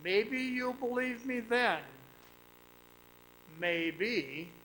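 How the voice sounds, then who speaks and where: An actor playing a sinister storyteller devoted to an underground fire cult, confiding and taunting in a radio drama monologue. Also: male voice, very high-pitched, animated, drawn-out words, slight background noise, heavily acted, rough recording. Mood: quietly menacing. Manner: menacing, taunting, confiding, addressing the listener. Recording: loud music bed under the voice. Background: loud dramatic music.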